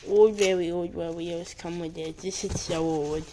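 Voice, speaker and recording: A noisy home recording with clicks carries this voice. The speaker presents an unboxing casually, at a medium pitch for a woman, talking in short and halting phrases. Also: steady tone. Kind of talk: casual unboxing vlog talk.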